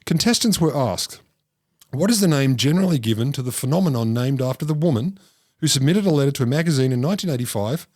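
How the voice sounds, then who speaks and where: Man, podcast co-host, jokey, wry asides, mid-pitched voice. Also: animated, fast talker, darkish tone, dry recording, clean studio sound. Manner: jokey, reads out study findings with wry asides. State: married. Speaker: podcast co-host presenting a science topic. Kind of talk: casual comedic science chat.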